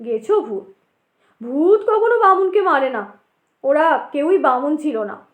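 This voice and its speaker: A woman's voice: high voice, expressive, unhurried. A woman voicing a superstitious young Bengali village man who confides and reassures in an audio ghost story. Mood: confiding, assured. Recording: roomy echo on the voice.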